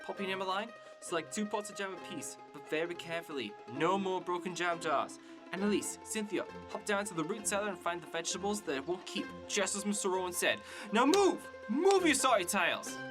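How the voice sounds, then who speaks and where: A woman's voice: on the low side, expressive, fairly quick, over music, lightly acted. A woman playing a bossy, responsible eldest rabbit sibling in a children's audio drama, commanding and formally articulate. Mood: barking orders.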